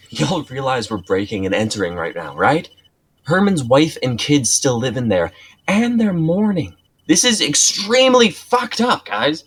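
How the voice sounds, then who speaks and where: A man's voice: mid-range voice, big pitch swings, unhurried, breathy edge. A man playing a skeptical, reluctant sidekick in a dramatic script reading, with a dry, protesting, colloquial delivery. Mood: exasperated. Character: skeptical, reluctant male sidekick of amateur sleuth.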